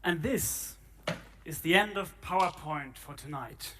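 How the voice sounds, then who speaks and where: A man from Finland, giving a live talk to an audience in a conversational way with thinking pauses. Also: on the high side, music in the background. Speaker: presenter.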